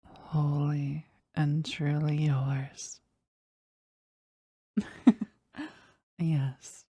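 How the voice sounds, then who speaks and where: An actor playing a powerful, devoted demon bound to a sorcerer in an intimate romantic audio roleplay, speaking formally and tenderly in a breathy voice that often drops toward a whisper. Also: mid-range voice, dark tone, no room sound, clean studio sound, heavily acted. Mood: happy, adoring. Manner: formal, slow, tender, halting with emotion.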